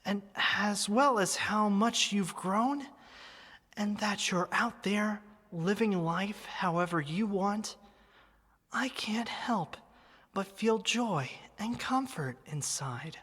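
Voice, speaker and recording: Slow, breathy teddy bear, voiced by a woman. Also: mid-range voice. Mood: wistful, fond. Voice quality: soft, breathy. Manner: slow, tender, sentimental. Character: gentle, wistful, loving living teddy bear, childhood friend. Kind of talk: ASMR roleplay. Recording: long reverb.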